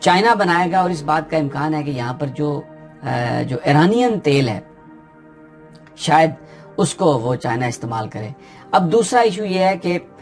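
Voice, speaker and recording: A man with a Pakistani Urdu accent explains political news in an unscripted, steady way, often pausing with "uh" as he thinks. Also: mid-range voice. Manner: steady explanatory delivery with frequent hesitations.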